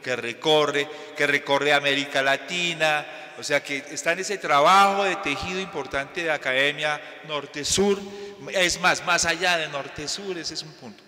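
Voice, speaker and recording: A man's voice: fairly high pitch, normal speed, bright, forceful tone. A man, moderating an event and closing it with an effusive, formal round of thanks. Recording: reverberant lecture hall.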